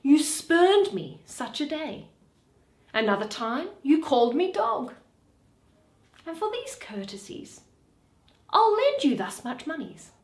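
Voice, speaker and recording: A bitter, wronged older moneylender from a Shakespeare play, acted out by a woman, mocking and sardonic. The pitch is high and swings widely, and the words are drawn out slowly in an echoing room. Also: big pitch swings, heavily acted. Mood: bitterly mocking. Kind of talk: dramatic Shakespeare reading. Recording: roomy, echoing.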